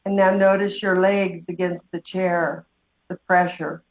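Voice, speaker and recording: A woman leading a guided meditation, giving slow, gentle instructions with long pauses. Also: fairly low pitch, little pitch movement. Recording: dull, muffled lo-fi sound.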